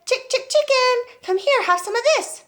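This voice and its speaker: A woman voicing an eager young boy who shouts and boasts. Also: extremely high pitch, moderate pace, pitched above the performer's own voice. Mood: coaxing.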